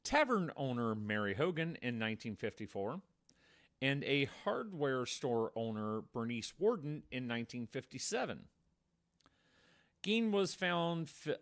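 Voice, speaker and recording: A man's voice: mid-range voice, fairly bright tone. A man co-hosting a podcast, telling true-crime stories in a conversational way with wry, darkly joking asides. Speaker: podcast co-host.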